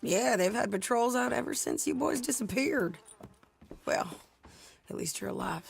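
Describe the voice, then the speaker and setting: A woman's voice: a little high, expressive, normal speed, vocal fry. An actress playing a tough, vengeful Old West outlaw woman in a video game, with an American accent and blunt, folksy speech. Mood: guarded, friendly.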